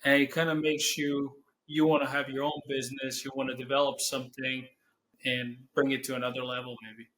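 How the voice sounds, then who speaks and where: A young man from Serbia with a Serbian accent, answering interview questions in English as a non-native speaker, pausing to search for words. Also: mid-range voice, completely flat delivery, a bit bright, a little hiss or hum, poor audio. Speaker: guest, business owner.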